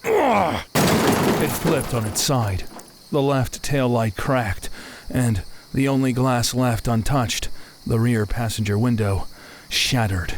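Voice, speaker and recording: A man's voice: mid-range voice, lively intonation, slow pace, heavy background noise. A man delivering scripted narration and dialogue in a dramatized audiobook.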